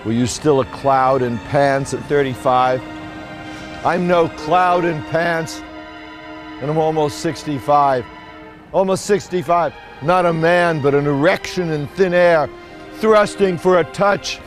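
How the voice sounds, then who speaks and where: A man's voice: fairly high pitch, unhurried, soft background music. Theatrical man in his sixties declaiming. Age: 60s. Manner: theatrical, declamatory, swinging from hushed musing to shouting. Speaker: street poet. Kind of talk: poetic street monologue. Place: outdoors on city streets.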